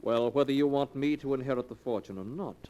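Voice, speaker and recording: An actor playing a greedy young man who kills his cousins for an inheritance, smooth and falsely polite, in a 1940s radio drama on an old radio recording. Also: medium pitch, quick pace, mellow, dull audio, close to an everyday voice. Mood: smooth, sly.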